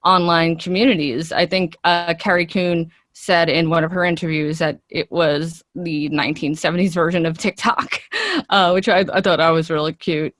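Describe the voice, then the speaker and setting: A woman with a lowish voice talks as an interview guest, chatty and keen to explain. The sound is dry and close, and her delivery is conversational and enthusiastic. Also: moderate pace, a bit bright, no room sound.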